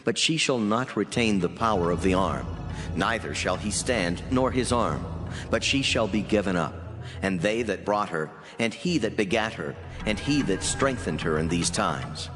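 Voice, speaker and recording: Solemn angelic messenger played by a man, low voice, measured prophetic declamation, clear music behind. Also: animated, natural voice. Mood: grave, prophetic. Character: solemn heavenly male messenger delivering prophecy. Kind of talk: dramatized scripture reading. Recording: long hall reverb under clear music.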